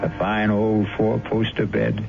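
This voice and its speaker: A male radio drama host tells the story in scripted narration. His fairly low voice comes through a thin, narrow old broadcast recording. Music plays clearly behind him. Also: completely flat delivery, measured pace. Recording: tinny, band-limited old radio recording.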